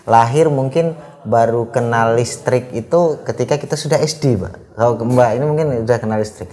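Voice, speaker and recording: A man's voice: mid-range voice, normal speed, mellow. A young man from Aceh hosting a casual podcast interview, friendly and rambling with many fillers. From Aceh, Indonesia. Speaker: podcast host.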